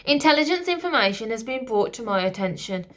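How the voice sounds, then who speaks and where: A weary, troubled king from a storybook, as a woman reads him. He speaks in a flat, distant, reluctant way. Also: a little high, fairly quick. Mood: grave. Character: weary troubled male king, middle-aged.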